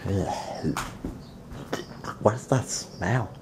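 A young man vlogging as he explores an abandoned house, talking excitedly to his viewers, recorded on a handheld camera. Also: on the low side, drawn-out words, noisy background. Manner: excited, addresses viewers as fams. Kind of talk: casual exploring vlog. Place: outdoors and inside an abandoned rural house. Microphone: handheld camera at arm's length.

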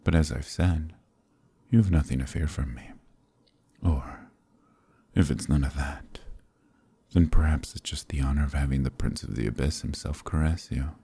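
A man voicing a gravelly, breathy demon prince. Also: a bass voice, moderate pace, mellow, exaggerated voice. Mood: seductive. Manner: teasing, flirtatious, commanding. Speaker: voice actor in audio roleplay. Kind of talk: ASMR roleplay, close intimate murmur.

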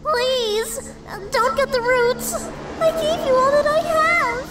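A woman's voice: very high voice, slow pace, noisy background. A woman playing a small, frightened victim pleading in a fantasy audio drama. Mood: terrified pleading.

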